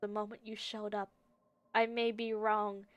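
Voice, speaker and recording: A woman livestreaming a game, reacting casually in an informal, slangy, halting way. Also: mid-range voice, flat delivery, dry recording, lo-fi sound. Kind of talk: casual reactions and gaming commentary. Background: faint game and background music.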